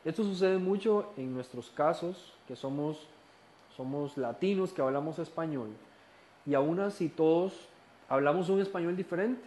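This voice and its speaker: A man with a Costa Rican accent and a fairly high voice teaches a recorded Bible study course. He explains calmly, asks rhetorical questions and sometimes restarts a word. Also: moderate pace. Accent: Costa Rican Spanish. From Costa Rica.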